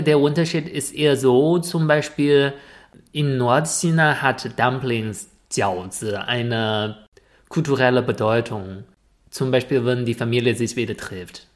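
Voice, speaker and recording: A man co-hosting a casual podcast, teasing his partner and patiently explaining things. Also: mid-range voice. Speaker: podcast co-host.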